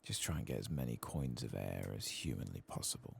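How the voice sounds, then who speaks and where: A man giving soft-spoken ASMR commentary on a game, sometimes dropping into a whisper. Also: extremely low pitch, animated, a little fast, vocal fry, slightly rough. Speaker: gaming ASMR streamer. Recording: faint keyboard and clicking noise.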